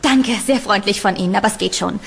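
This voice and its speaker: A woman's voice: mid-range voice, quick pace, vocal fry, a little hiss or hum, close to an everyday voice. A woman playing a polite, earnest young student in an audio drama. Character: polite, slightly timid young female student.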